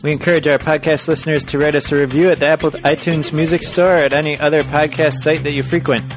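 A man's voice: fairly high pitch, steady tone, fast. Man, podcast host, friendly, reading announcements, music underneath. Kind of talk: radio interview and show outro.

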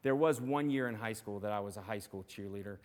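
A man preaching a sermon as pastor. He speaks earnestly and encouragingly, with a loud voice and many fillers and restarts. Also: fairly low pitch, fast talker. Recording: long hall reverb.

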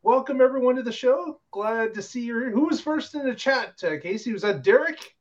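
A man's voice: very high-pitched, expressive, a little fast, dull audio. A man hosting a casual podcast, talking in a chatty way with lots of filler words. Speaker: podcast host. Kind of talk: casual chat show hosting.

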